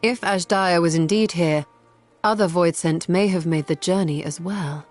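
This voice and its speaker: A woman's voice: fairly low pitch, moderate pace, darkish tone, soft background music, close to an everyday voice. A woman acting a poised, formal and courteous leader in a video game cutscene. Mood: courteous, resolute.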